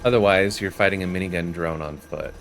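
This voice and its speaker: A man's voice: deep voice, steady tone, brisk, fairly bright tone, slight background noise. A man giving casual, explanatory commentary as the host of a gaming video. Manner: explanatory, reads quest text aloud.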